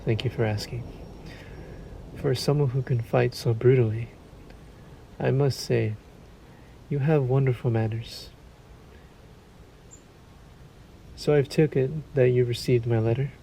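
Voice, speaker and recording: A man voicing a suave, predatory male vampire in an audio roleplay, with a courtly, teasing, drawn-out delivery. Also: mid-range voice, steady tone, vocal fry, dark tone, slight background noise, natural voice, poor audio. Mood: courtly, welcoming.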